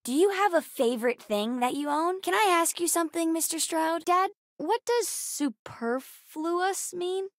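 Space-game kid: high-pitched, earnest, inquisitive girl with a cheeky streak, recorded dry. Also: expressive, unhurried, few pauses, no echo, heavily acted. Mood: eager, chatty. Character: bright, curious, bookish young girl aboard a spaceship. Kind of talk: video game character voice lines.

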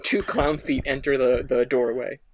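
A man's voice: mid-range voice, fairly even intonation, normal speed. A man in his twenties narrating and playing improvised comedy, recorded at home on a noisy video call. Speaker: improv comedy podcast performer. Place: small room at home.

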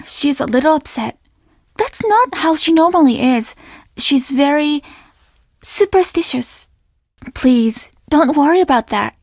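A woman's voice: high voice, expressive, a little slow, no echo. A woman voicing a courteous, reassuring young inn receptionist in a video game, eager to please. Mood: apologetic. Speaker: voice actor playing an inn receptionist. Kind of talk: video game dialogue lines.

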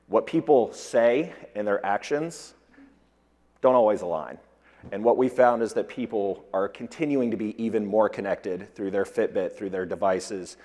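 A man's voice: lowish voice, darkish tone. A middle-aged man gives a prepared business presentation from a conference stage. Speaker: conference speaker, corporate executive. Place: large indoor conference stage before an audience. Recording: echoey hall with long reverb.

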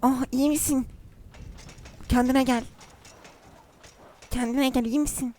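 A woman's voice: sits high, fairly even intonation, mellow. Sighing, flirty woman gamer.

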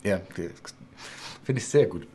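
A man's voice: sits low, animated, a little slow, warm tone, slightly rough. A middle-aged man hosting a casual, chatty interview from a home studio. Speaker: talk show host. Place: home studio room indoors.

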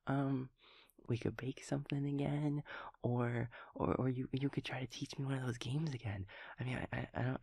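A man voicing a sweet, naive, cheerful young daemon friend in an intimate audio roleplay, with soft, breathy speech and gentle, halting rambling. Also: medium pitch, no echo. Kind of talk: intimate audio roleplay acting, one-sided conversation.

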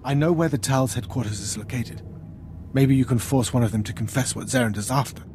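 Male actor as deferential officer, average pitch, formal, explanatory, earnest, video game dialogue, faint ambient noise. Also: fairly quick, darkish tone, natural voice. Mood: earnest briefing.

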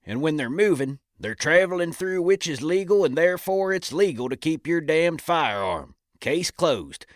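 A man's voice: fairly high pitch, sing-song, normal speed, few pauses, dry recording, clean studio sound. A man playing a cantankerous old Wild West saloon judge in an audio drama, pronouncing things in a folksy, pompous way. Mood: gruffly declaring. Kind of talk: audio drama acting in a history podcast.

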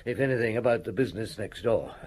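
An actor playing a seasoned, unhurried chief inspector in a radio drama, musing and probing in short questions. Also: male voice, lowish voice, darkish tone. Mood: probing, polite. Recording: radio drama with clear background noise.

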